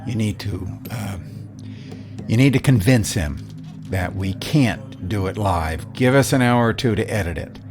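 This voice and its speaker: A man acting a serious, worried station manager with a fairly deep voice. He talks in measured, hesitant phrases in a naturalistic radio drama, with music clearly playing underneath. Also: natural voice. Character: careful, worried male radio station boss.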